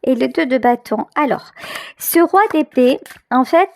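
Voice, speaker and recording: A woman's voice: high-pitched, a little slow, gravelly. A woman hosting a tarot reading, talking conversationally to the viewer and feeling her way through phrases with emphatic repetitions. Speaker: tarot reader, channel host. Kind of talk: intuitive tarot reading, improvised commentary addressed to the viewer.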